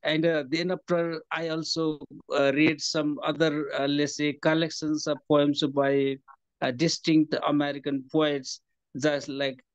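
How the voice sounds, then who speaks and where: A man in his fifties speaks with a Nepali accent, his answers halting and full of "uh" and "let's say" fillers. His voice sits a little high and comes through a dry, dull phone line on a video call. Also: little pitch movement, clear, resonant tone, no room sound. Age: 50s. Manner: hesitant, many "uh, let's say" fillers. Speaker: guest, poet and professor. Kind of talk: conversational interview answers.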